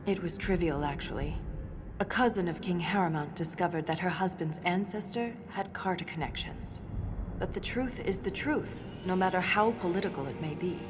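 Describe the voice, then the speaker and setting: A principled dwarf historian from a video game, played by a woman, with a fairly low female voice. She speaks in a measured, precise manner with a dry, stubborn edge. Music plays clearly behind her. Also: natural voice. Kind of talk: video game cutscene dialogue.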